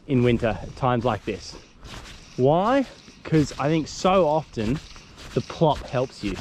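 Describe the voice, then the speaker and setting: A young Australian man talking casually while he fishes a windy lakeshore, with his voice at a middle pitch. He explains his tips in a chatty way and breaks into excited exclamations. Wind noise on the head-mounted camera mic is heard clearly behind him. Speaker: fly fishing guide. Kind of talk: casual outdoor fishing vlog. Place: lakeshore outdoors on a windy day. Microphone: head-mounted action camera.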